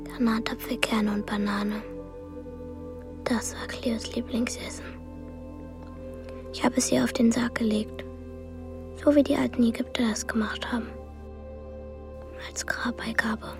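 A woman's voice: on the high side, fairly even intonation, measured pace, vocal fry, pauses often, in a whisper. An actress playing an earnest, girlish figure in a radio drama. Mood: sad, hushed. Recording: studio radio drama with music underneath.

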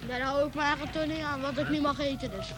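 A woman's voice: high voice, fairly even intonation, fast. A woman giving casual, hesitant answers as a street interviewee. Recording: outdoor street interview, busy crowd behind.

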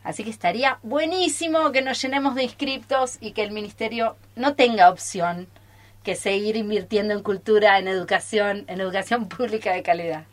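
An Argentine woman speaks at a middle pitch into a studio microphone. She is informal and enthusiastic, like a theatre teacher chatting live on air. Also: brisk. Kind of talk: casual live radio talk. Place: radio studio.

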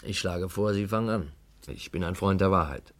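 An actor playing a smooth, controlling interrogator in a vintage crime drama. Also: male voice, sits low, moderate pace, no echo, natural voice. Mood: coolly insistent.

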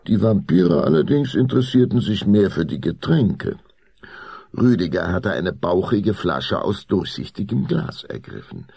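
A man narrating a spooky children's radio play in a deliberate, expressive storytelling manner. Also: low-pitched, gravelly, husky, no echo, studio quality, full character voice.

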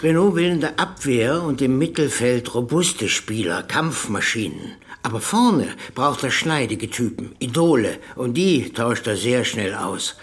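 An actor playing a guarded, pragmatic former police commissioner in a radio drama, speaking carefully and matter-of-factly. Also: male voice, medium pitch, lively intonation, unhurried, few pauses, husky. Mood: resigned, factual.

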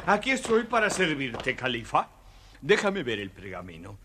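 A man's voice: mid-range voice, huge pitch swings, moderate pace, noisy background. An actor playing a learned, servile male sage in a children's story record, muttering mock Latin with theatrical ceremony. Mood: ceremonious, learned.

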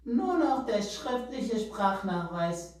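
An actress playing a brisk female immigration officer who reads out document checks and makes dry jokes. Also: mid-range voice, big pitch swings, darkish tone. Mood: bureaucratic. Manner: bureaucratic, dryly joking. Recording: roomy echo.

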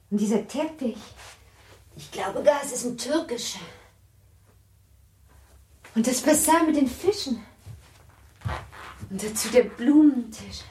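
An actress playing a curious, sensitive young aristocratic bride in a German radio play, with a wondering, softly expressive and at times breathy delivery. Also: female voice, a little high, slight background noise. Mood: delighted.

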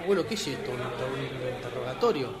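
A man's voice: medium pitch, expressive, fairly quick, crowd noise, natural voice. A man with an Argentine accent acting a blunt, macho and easily suspicious rival in a radio drama, talking colloquially. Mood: suspicious, irritated. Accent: Rioplatense Argentine Spanish.

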